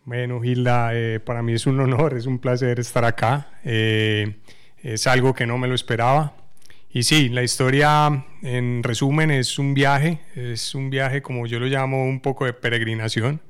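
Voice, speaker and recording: A man from Medellín with a Colombian accent, speaking calmly and thoughtfully as an interview guest. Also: medium pitch, normal speed, smooth. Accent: Colombian Spanish from Medellín. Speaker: guest, amateur cyclist.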